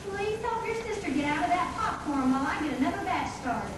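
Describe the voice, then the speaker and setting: A woman playing a patient, gently teasing frontier mother in an amateur puppet show, speaking properly. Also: very high voice, expressive, a little fast. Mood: concerned, soothing. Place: puppet show in a hall, far camera. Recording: echoey room, distant mic, noisy.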